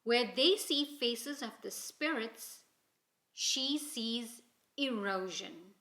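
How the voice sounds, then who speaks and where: A woman reads a children's story aloud in an expressive, deliberate storytelling manner. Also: fairly high pitch. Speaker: storybook reader. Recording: roomy, echoing indoor sound.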